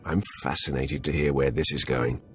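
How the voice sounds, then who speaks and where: A man playing a brutish, sardonic Sith warrior in a video game, with terse lines in a gravelly voice. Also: extremely low pitch, steady tone, moderate pace, fairly bright tone, faint music behind. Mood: gruff confidence. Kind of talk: video game hero acting.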